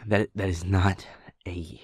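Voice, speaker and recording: A man with a low, slightly breathy voice speaks to his partner in a soft, halting way, often trailing off and restarting. He talks slowly and unhurriedly. The sound is dry and close. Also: level tone, no room sound. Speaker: audio roleplay performer. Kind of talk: intimate audio roleplay, speaking to the listener.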